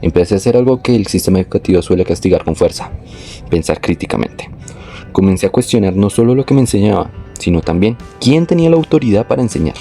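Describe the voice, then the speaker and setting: A young man reading a scripted, reflective personal narration in a measured, literary way. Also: low-pitched, warm tone. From born in Venezuela, moved to Colombia. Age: young adult. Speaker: narrator of his own life story.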